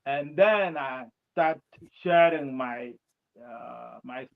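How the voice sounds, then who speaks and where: A man with a South Sudanese accent, speaking English learned later in life, answering interview questions in a halting way with many restarts. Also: medium pitch, gravelly. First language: his mother tongue and Arabic. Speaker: guest, presidential candidate. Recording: dull lo-fi sound.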